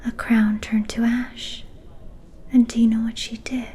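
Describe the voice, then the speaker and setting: A woman playing a mysterious, soft-spoken vampire seer in an ASMR fantasy roleplay, whispering in a breathy, ominous and cryptic way. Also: a little high, flat delivery, slight background noise, lightly acted. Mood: knowing, quietly scornful. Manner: slow, deliberate, cryptic.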